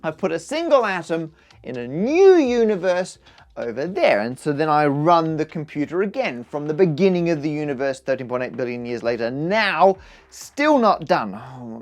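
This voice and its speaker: A woman presenting a maths video, explaining numbers to camera with playful, joking asides. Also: low-pitched.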